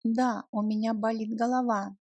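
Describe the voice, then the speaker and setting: A woman narrating a language lesson, reading short scripted phrases deliberately and separating the words for learners. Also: fairly high pitch, steady tone, dark tone, dry recording.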